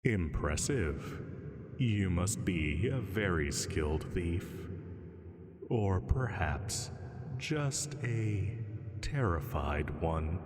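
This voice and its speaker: Very slow, deep dragon played by a man. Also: lively intonation, a little mellow, exaggerated voice. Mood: mock approval. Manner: slow, condescending, theatrical, drawn-out taunting. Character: ancient male dragon, sly predator, amused and menacing. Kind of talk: scripted roleplay audio drama monologue. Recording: long cavernous reverb.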